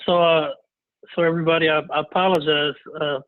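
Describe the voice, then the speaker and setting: A man with a cold, his voice raspy and hoarse, presenting a webinar in a halting way full of fillers and restarts. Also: on the high side, flat delivery, dry recording. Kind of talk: casual presentation. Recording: dry, tinny phone-like line.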